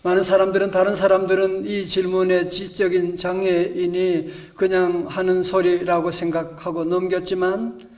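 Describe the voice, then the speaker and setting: Pastor guy preaching in church, kinda high voice, mic sounds far away, goes soft then loud telling a story. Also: completely flat delivery, normal speed. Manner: storytelling, swings between hushed and emphatic. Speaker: senior pastor. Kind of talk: sermon. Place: church sanctuary.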